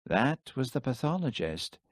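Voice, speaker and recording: A man's voice: mid-range voice, sing-song, a little slow, no echo, clean studio sound, voice pushed up. A man reading an audiobook, voicing a pompous senior police detective who talks in a stiff, officious way. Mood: brusque. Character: pompous male detective superintendent.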